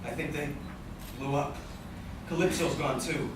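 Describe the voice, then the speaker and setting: A man's voice: a little high, steady tone, measured pace, lightly acted. A man playing a flippant young superhero in a radio play, casual and sarcastic. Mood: wry, subdued. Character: young male superhero photographer, wry and flippant. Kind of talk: live staged radio play acting. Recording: echoey hall, distant mic, noisy.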